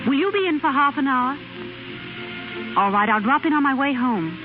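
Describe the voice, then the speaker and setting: An actress in a 1930s radio drama playing a tired, devoted young working wife, earnest and gently pleading, on a scratchy, tinny recording. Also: female voice, high-pitched, lively intonation, moderate pace, music in the background. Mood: anxious, polite.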